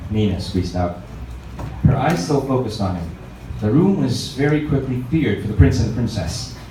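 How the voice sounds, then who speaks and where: A man's voice: mid-range voice, animated, moderate pace, dark tone. A man narrating a story aloud expressively, as a book reader. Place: reverberant room at a live reading event. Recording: echoey room, distant mic, faint noise.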